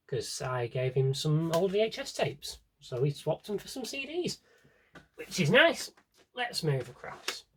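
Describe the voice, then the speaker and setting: British man, chatty and very animated. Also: a little high, breathy edge. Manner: chatty, wry, self-deprecating aside-filled delivery. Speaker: vlogger showing a CD collection. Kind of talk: casual rambling show-and-tell chat.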